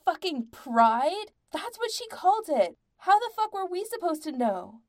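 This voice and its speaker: A woman's voice: a little high, animated, moderate pace, gravelly, warm tone. Woman as a flustered, defensive young male singer. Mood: defensive, indignant.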